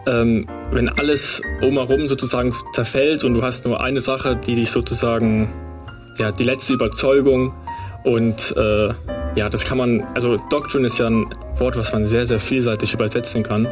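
A man's voice: mid-range voice, level tone, normal speed, over music. A nineteen-year-old man from near Starnberg, a band guitarist, speaking hesitantly and searching for words in a radio interview. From near Starnberg, Bavaria.

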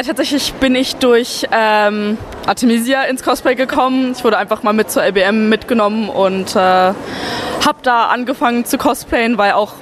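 A young woman from Berlin chatting casually as an interviewed cosplayer. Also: medium pitch. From Berlin, Germany. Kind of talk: casual, hesitant chat. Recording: crowd and clear background noise.

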